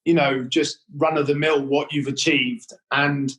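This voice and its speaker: A man's voice: on the high side, fairly even intonation, a little slow, a bit bright. A man hosting an interview, rambling with many fillers and restarts. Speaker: interview host. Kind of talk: hesitant conversational interview.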